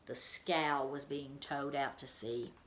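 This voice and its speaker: A teacher reads a children's book aloud in a low voice. She tells the story in an expressive way. Her voice has a roomy echo around it. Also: takes it slowly.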